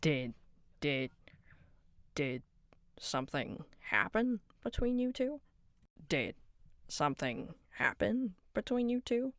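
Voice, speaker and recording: A woman voicing a gentle, wise older male cartoon chess piece who speaks softly and slowly to console, at times hoarse and nasal. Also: deep voice, sing-song, dry recording, clean studio sound, heavily acted. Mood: concerned. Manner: soft, slow, consoling. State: sometimes hoarse and nasal from being sick.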